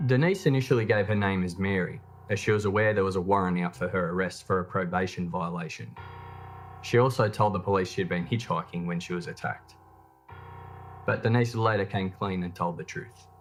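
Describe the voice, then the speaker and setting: A man reading scripted true crime documentary narration in a measured, matter-of-fact way. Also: sits low, faint music behind.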